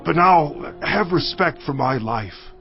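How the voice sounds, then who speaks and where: An actor playing a frightened army captain who begs slowly for his life. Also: a little high, expressive. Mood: pleading. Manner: pleading, slow. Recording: muffled audio under music.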